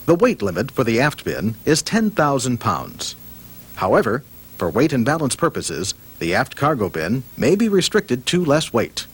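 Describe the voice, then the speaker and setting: A man's voice: medium pitch, huge pitch swings, normal speed, slightly rough. A man reading scripted narration for a training film in a formal, factual manner.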